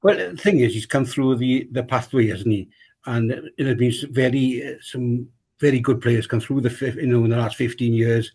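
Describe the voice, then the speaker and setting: A man's voice: medium pitch, moderate pace, darkish tone, breathy edge. A man with a Welsh accent, a rugby club chairman, talking casually on a podcast, rambling and repeating himself with many fillers.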